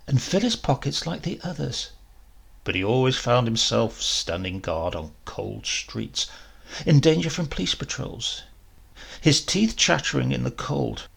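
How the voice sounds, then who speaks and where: A man narrating a short story in a measured, expressive way, with deliberate pauses for drama. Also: mid-range voice, vocal fry, husky. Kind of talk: dramatic scripted literary narration.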